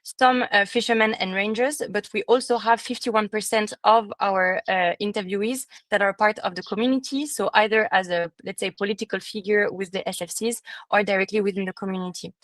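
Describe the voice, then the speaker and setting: Woman presenting research, measured with fillers. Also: mid-range voice, steady tone, a bit bright, no room sound. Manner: measured, with frequent fillers and restarts. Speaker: student presenter. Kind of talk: academic conference presentation. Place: conference room.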